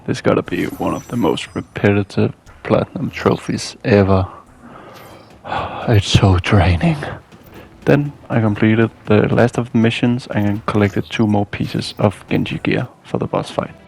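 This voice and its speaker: A man's voice: lowish voice, a little slow, vocal fry, a little mellow, a little hiss or hum. A man narrating a casual video game walkthrough as a scripted voice-over, with dry, weary asides. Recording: music behind the voice. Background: game music.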